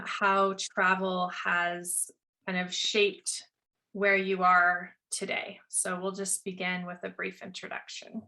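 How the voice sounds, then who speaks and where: Bright-voiced woman hosting, fairly even pitch. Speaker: podcast host. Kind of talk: conversational interview.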